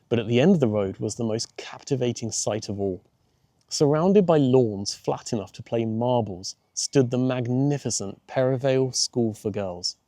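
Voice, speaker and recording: Male narrator, mellow tone, dry close sound, children's audiobook storytelling. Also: mid-range voice, dry recording.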